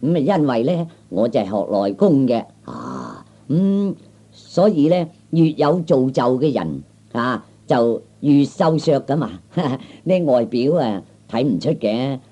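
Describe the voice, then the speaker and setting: A man playing a small, skinny, boastful kung fu braggart in a Cantonese comic radio play, talking haltingly with many interjections. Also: mid-range voice, very animated, mellow, full character voice. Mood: smug, mock-modest. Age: 30s.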